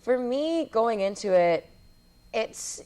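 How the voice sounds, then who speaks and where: A woman's voice: fairly high pitch, animated, takes it slowly, mellow, clear, resonant tone. A young woman, a student theater director and actor, answering questions conversationally as an interview guest. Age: young adult.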